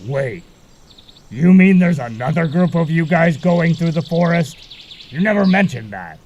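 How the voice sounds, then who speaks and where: A man playing a friendly, laid-back guide in an amateur audio drama, gravelly and casual. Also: fairly high pitch, animated, unhurried, a little mellow, highs cut off, a little hiss or hum. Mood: hesitant.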